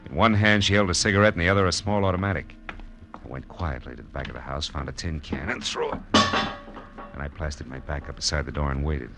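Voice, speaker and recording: Low-voiced hard-boiled private detective. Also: male voice, fairly quick. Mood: hard-boiled narrating. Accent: American. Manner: hard-boiled, clipped, slangy. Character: tough wisecracking male private detective. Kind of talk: 1940s radio drama acting and first-person narration. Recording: old radio broadcast with loud music.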